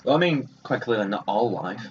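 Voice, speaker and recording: A man's voice: fairly low pitch, expressive, a little fast, highs cut off, soft background music. A man giving casual let's play commentary in a rambling, offhand, dryly wry way. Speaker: gaming video host.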